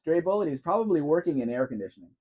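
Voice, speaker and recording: A man's voice: fairly high pitch, lively intonation, very fast, husky, lo-fi sound. Chatty man hosting a live Q&A. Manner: chatty, answers chat by name, wanders while talking. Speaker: livestream host. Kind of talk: casual live Q&A chat.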